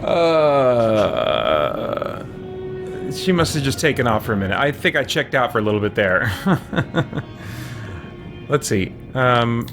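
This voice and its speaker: A man's voice: mid-range voice, very animated, slow pace. A man giving casual let's play commentary, musing aloud with mild folksy exclamations. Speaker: gaming video host. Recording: loud game music underneath.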